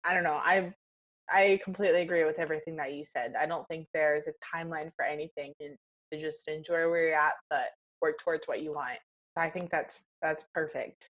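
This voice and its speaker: A young woman hosts a podcast interview, warmly affirming her guest. Her voice sits lower than most women's. She draws out her questions slowly. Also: steady tone. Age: young adult. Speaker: podcast host. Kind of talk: casual interview chat.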